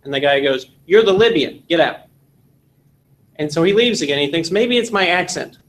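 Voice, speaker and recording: A man from Texas in his forties, giving an animated classroom lecture and telling jokes in a seminary meeting room. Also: fairly high pitch, normal speed, rough recording. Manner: animated storytelling with jokes, gesturing. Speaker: seminar instructor.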